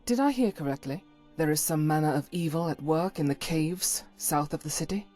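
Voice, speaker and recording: A woman's voice: sits low, moderate pace, dark tone, faint music behind. A woman acting a stern, devout cleric in a fantasy video game, solemn, formal and righteous. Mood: resolute. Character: zealous, stern female cleric, devout and unyielding.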